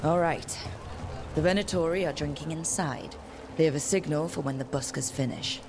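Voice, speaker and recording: A woman's voice: low voice, moderate pace, background noise. A woman voicing a cool, observant detective in a fantasy video game, thinking aloud in a terse, matter-of-fact way. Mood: focused, deducing.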